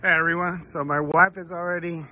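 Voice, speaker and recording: A man's voice: a little high, little pitch movement, moderate pace, sharp tone, a little hiss or hum. A man filming a casual vlog outdoors on his phone, talking eagerly and plugging a shop. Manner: enthusiastic, promotional. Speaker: vlogger. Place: outdoors at a warehouse lot and a driveway. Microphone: phone camera held by speaker.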